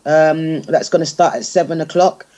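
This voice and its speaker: A woman with a dark, mellow voice talks informally as a guest on a radio show. She is halting, repeating words as she explains things casually. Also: low voice, dull audio. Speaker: guest, music group organiser. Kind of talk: casual radio interview talk.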